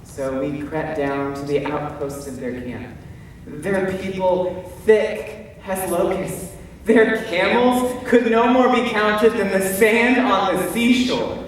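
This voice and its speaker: A man playing Gideon, a fearful biblical warrior, in an emphatic, hesitant stage monologue. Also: high voice. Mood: daunted. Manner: theatrical, emphatic, with deliberate pauses and hesitant stammers. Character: Gideon, fearful, self-doubting biblical warrior telling his story. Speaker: stage actor. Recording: distant mic in echoey hall, faint noise.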